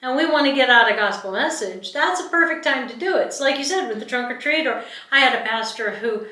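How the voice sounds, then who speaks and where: A middle-aged woman talks casually, as a podcast co-host in a conversational discussion. Her voice is bright and forward in tone, with a middling pitch. The recording is echoey, with her sitting back from the microphone. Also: expressive, fairly quick. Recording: roomy echo, distant from the mic.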